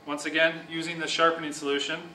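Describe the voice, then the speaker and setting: A young man calmly explaining, step by step, how to sharpen speed skates, pausing now and then to restart a phrase. He is recorded in a large office room with a whiteboard. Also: on the high side, far from the mic, a little hiss or hum. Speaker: speed skating sharpening instructor. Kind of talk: instructional demonstration. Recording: echoey room, voice from off-mic.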